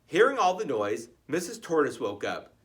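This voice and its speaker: A reader narrating a children's story in an expressive, playful way, with chatty asides to the listeners. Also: medium pitch, normal speed.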